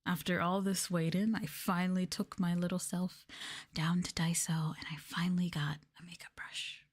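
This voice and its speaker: Female ASMR streamer: hushed, gentle chat that drifts between soft voice and whisper, close-miked. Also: on the low side, flat delivery, moderate pace, slightly rough. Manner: gentle hushed delivery, often slipping into whisper. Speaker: streamer host of an ASMR livestream. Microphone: close microphone for headphone listening.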